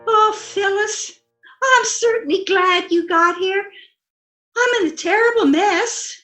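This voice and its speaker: A woman's voice: slow, bright, forceful tone, roomy sound, soft background music, exaggerated voice. A woman playing a sweet, ditzy, cheerful wife who coos innocently, in an old-time radio comedy style. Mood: sweetly innocent. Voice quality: very high, girlish. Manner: cooing, innocent.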